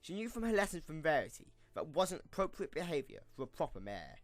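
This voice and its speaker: A man's voice: on the high side, big pitch swings, brisk, dry recording. A man reading scripted story narration as the narrator of an audio drama.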